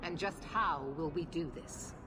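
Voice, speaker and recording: Woman playing a curt commanding knight commander, very low voice, noisy game audio. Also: a little slow, natural voice. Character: stern authoritarian female knight commander.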